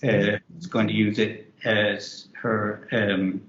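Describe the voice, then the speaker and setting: Man, low voice, rambling co-author, roomy muffled video call. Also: flat delivery, takes it slowly, fairly bright tone, slight background noise. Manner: loose, rambling, many restarts. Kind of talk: virtual author interview.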